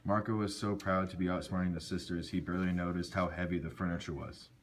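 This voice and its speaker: A man's voice: deep voice, little pitch movement, fast. A man narrating a scripted folk tale, reading aloud and occasionally stumbling over words.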